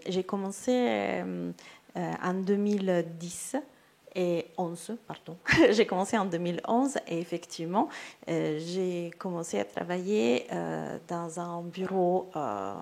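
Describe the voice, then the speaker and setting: A woman's voice: on the low side, gravelly, darkish tone. A woman in her thirties with an Italian accent, a native Italian speaker answering on a round-table panel, hesitant, with many fillers and restarts. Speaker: literary agent for illustrators.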